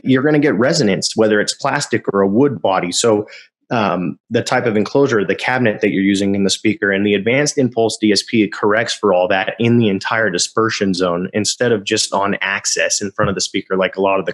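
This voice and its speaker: A man explaining loudspeaker features in an enthusiastic sales-pitch manner, sometimes stumbling over a word. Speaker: product specialist. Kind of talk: product demo explanation.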